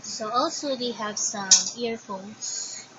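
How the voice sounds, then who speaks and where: A woman's voice: a little high, takes it slowly. A woman with a Filipino accent, Tagalog her first language, casually showing her crafts and thinking aloud in a hesitant way. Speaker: crafting vlogger. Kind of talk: casual show-and-tell. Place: home. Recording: dull lo-fi sound with background noise.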